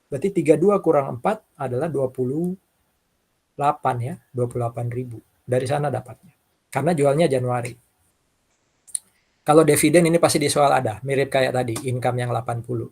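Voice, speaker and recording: A man with a husky voice, lecturing informally and talking through worked examples, often checking in with short tags. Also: mid-range voice, brisk, darkish tone. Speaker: accounting lecturer.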